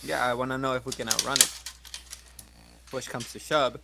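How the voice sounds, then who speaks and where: A man's voice: mid-range voice, flat delivery, normal speed, vocal fry, very noisy. A man playing a tabletop game, chatting casually in a blunt, sweary way.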